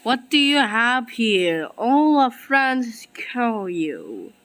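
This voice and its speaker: A woman voicing an excitable, easily angered cartoon villain with exclamatory, dramatic delivery in an amateur animation. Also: on the high side, extremely slow, exaggerated voice. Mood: scheming.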